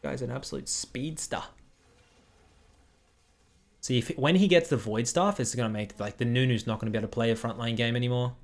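A young man talks over a game replay in a casual, blunt way, tossing in quick critical asides. He sounds close and direct, as if speaking into a headset from a small room at home. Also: mid-range voice, vocal fry. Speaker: streamer commentating a replay.

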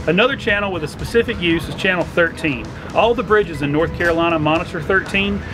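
A middle-aged man presenting an instructional explainer to camera, talking through things step by step. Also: sits high. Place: outdoors on a docked boat at a marina. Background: background music.

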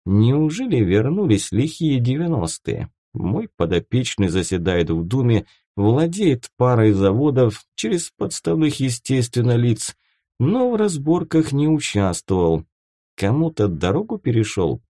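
A man narrating first-person fiction from a script as an audiobook, with a dramatic, expressive delivery. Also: mid-range voice, unhurried, dry recording, clean studio sound.

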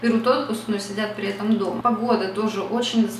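A woman's voice: medium pitch, fairly even intonation, fairly quick, roomy sound, recorded from a distance, slight background noise, rough recording. A young woman from Russia talking casually to camera at home, reflective and chatty, with many fillers. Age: young adult. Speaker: beauty vlogger. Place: small bright room at home.

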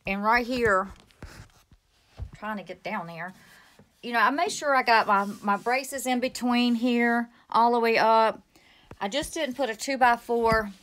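A woman's voice: medium pitch, a bit bright. A woman in her fifties giving a casual, rambling walkthrough of her shelf build, recorded on a handheld phone in a small pantry room. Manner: rambling, self-correcting, plainspoken. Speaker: homesteading vlogger. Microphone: phone held at arm's length.

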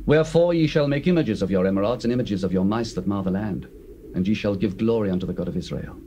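An actor playing an authoritative pagan priest, giving grave, oratorical counsel in a dramatized scripture. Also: male voice, fairly low pitch, fast, warm tone, lightly acted. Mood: grave, commanding. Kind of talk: dramatized scripture, stage acting. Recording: faintly noisy old cassette.

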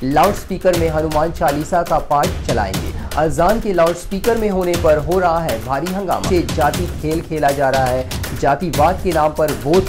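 A man's voice: on the high side, normal speed, few pauses, over music. Young man presenting a news explainer. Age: 20s.

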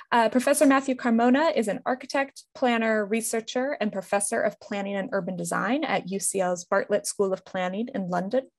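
A woman's voice: mid-range voice, gravelly, dry recording. A woman in her thirties on a headset, enthusiastically introducing a guest speaker with many fillers. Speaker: event host introducing guest. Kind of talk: introduction at an online event. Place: indoor room.

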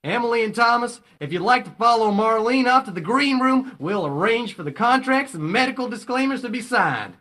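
An actor playing a slick, theatrical game show host in a dystopian audio drama. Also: male voice, extremely high pitch, expressive, fairly quick, few pauses, pushed and bright, exaggerated voice. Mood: gushing. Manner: theatrical, gushing announcer delivery.

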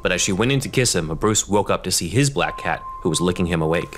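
A man's voice: low-pitched, expressive, fast talker, soft background music. A man reading scripted narration for an audio drama.

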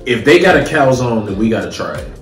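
A man's voice: medium pitch, big pitch swings, quick pace. An American man in his thirties chatting casually and emphatically in slang as he reviews food on camera. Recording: roomy home recording with faint music.

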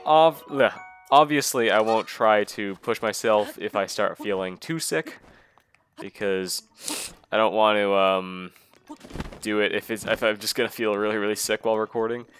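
A man's voice: on the low side, fairly bright tone, a little hiss or hum. A man with a nasal voice from a cold, giving rambling, casual gameplay commentary as a let's play host. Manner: rambling, casual, self-deprecating. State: recovering from a cold.

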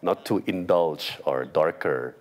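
Very deep-voiced American man on a panel. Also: darkish tone. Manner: measured, emphatic, frequent "right?" tags. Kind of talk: panel discussion. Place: large conference hall stage.

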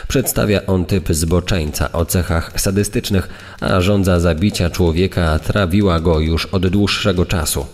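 A grave, low-pitched 1930s newspaper reporter, played by a man delivers lurid court news in formal, solemn phrases. The voice carries long reverb, as in a crime audio drama. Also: fairly even intonation, measured pace, darkish tone. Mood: grave, sensational. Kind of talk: dramatised reading of press reports.

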